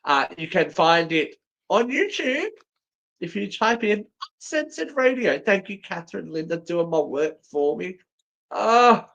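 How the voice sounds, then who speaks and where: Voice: medium pitch, very animated, unhurried, vocal fry, a bit bright, muffled recording. A co-host chatting casually on a livestream, cheeky and full of joking interjections. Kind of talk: bantering casual chat on a livestream.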